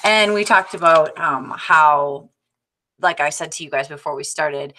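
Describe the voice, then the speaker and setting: A woman's voice: low-pitched, measured pace, pushed and bright, a little hiss or hum. A middle-aged woman explaining things informally as a teacher in a discussion group, on a video call from a small office. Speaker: teacher sharing a picture book in a discussion group. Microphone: computer mic on a video call.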